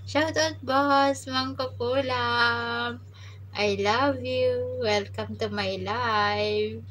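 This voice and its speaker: A woman's voice: on the high side, fairly even intonation, extremely slow, fairly bright tone, a little hiss or hum. A young Filipino woman with a Filipino accent chatting playfully on a live stream, mixing English with Tagalog. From Philippines. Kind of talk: casual live-stream chat. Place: abroad, working in the Gulf.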